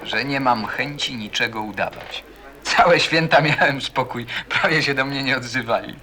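A man's voice: medium pitch, normal speed, pushed and bright, natural voice. A man playing a bitter, defensive teenage schoolboy in a radio play, defiant, sarcastic and colloquial. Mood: wry storytelling. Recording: busy chatter of people behind. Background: crowd chatter, as in a café.

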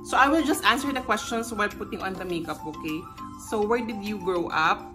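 A woman's voice: medium pitch, a little fast. Woman in her 30s rambling through a get ready with me, faint music under it. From Philippines. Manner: rambling, friendly, self-correcting asides. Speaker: beauty vlogger. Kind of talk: casual chatty makeup tutorial. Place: bedroom at home, indoors. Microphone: camera in front at close range.

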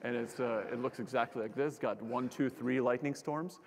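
A young man gives a relaxed, joking public science talk and often stops to restart his sentences. His voice is middle-pitched. He is on a lecture hall stage, and the sound carries a long hall reverb. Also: a little fast, noisy background. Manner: casual and joking, with frequent fillers and restarts. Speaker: keynote speaker, astronomer.

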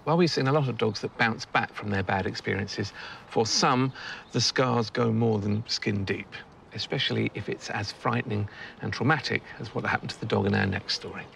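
A man's voice: lowish voice, moderate pace, creaky, fairly bright tone, a little hiss or hum. A man narrating a documentary in a scripted voice-over, with dry wry asides.

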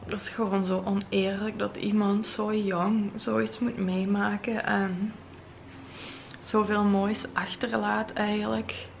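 Tearful young Flemish woman, gravelly. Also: medium pitch, flat delivery, takes it slowly, slight background noise. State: tearful, voice heavy from crying. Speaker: vlogger. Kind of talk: emotional vlog monologue to camera. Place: bright modern home interior. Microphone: camera at close range.

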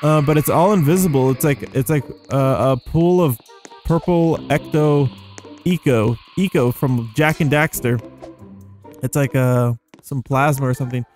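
A man's voice: mid-range voice, normal speed, darkish tone, soft background music. A young adult man giving casual, rambling gameplay commentary, easily amazed and thinking aloud as he hosts. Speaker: gaming video host.

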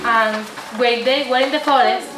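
A woman's voice: on the high side, fairly even intonation, moderate pace. A young woman reading scripted narration for an amateur puppet show. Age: young adult. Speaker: student narrator. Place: indoor room at a day centre. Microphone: far camera. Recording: noisy echoey room, distant camera mic.

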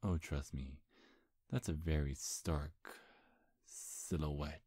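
Male actor as sly demon charmer for ASMR roleplay: extremely low voice, close dry mic, flirty and teasing. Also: steady tone, slow pace, dark tone, breathy edge, dry recording, exaggerated voice. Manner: flirtatious, sly, hesitant stammers. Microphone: close, dry microphone.

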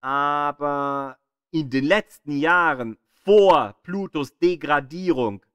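A man reading scripted educational narration in a deliberate, explanatory manner, as the narrator of an audio guide. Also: on the high side, fairly bright tone, smooth, dry recording, studio quality. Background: music behind the voice.

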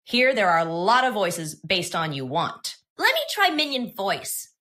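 A woman presenting a software tutorial in scripted, instructional, step-by-step narration. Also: medium pitch, big pitch swings, a little slow.

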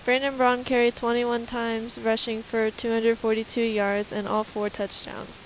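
A woman's voice: fairly high pitch, slight background noise, rough recording. A teenage girl reading a school sports report outdoors, holding a handheld mic. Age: teens. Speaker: student sports anchor. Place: outdoors on a football field.

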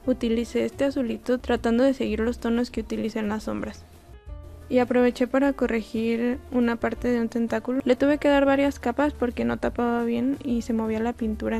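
Relaxed, gravelly-voiced young woman. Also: fairly high pitch. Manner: relaxed, chatty, self-deprecating. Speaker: artist narrating a painting process. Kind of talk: casual voice-over narration.

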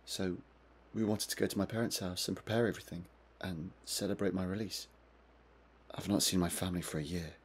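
A man's voice: sits low, steady tone, fairly quick, breathy edge. An actor playing a distressed, confused man in his twenties in a video game, agitated and defensive. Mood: subdued, explaining. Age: 20s. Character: distressed, confused young man suspected by police. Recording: noisy with background ambience.

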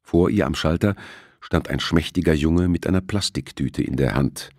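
A man's voice: extremely low pitch, normal speed, darkish tone, no echo, clean studio sound. A man reading a novel aloud as a scripted audiobook narration.

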